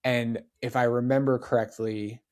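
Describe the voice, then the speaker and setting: A man's voice: medium pitch, level tone, moderate pace, a little mellow. A man in his forties, a podcast guest, chatting casually and dropping lots of "like" and "right?" asides. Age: 40s. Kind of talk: casual interview chat.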